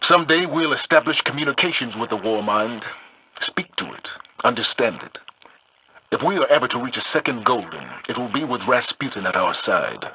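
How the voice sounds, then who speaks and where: An actor playing a resolute, authoritative military commander in a video game, with a very raspy voice. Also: male voice, medium pitch, sing-song, moderate pace, sharp tone, no room sound, exaggerated voice, poor audio. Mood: solemn.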